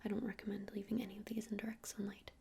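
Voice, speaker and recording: A woman playing a gentle crystal-shop witch in an ASMR roleplay, whispering breathily and explaining things unhurriedly with hesitant pauses. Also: mid-range voice, level tone. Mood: soothing, earnest. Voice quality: breathy whisper with creaky edges.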